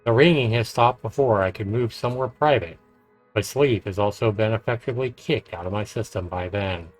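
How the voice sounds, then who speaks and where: A man streaming a visual novel, reading its narration aloud in a measured storytelling way with casual commentary. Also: lowish voice, poor audio. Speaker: game streamer. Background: game music.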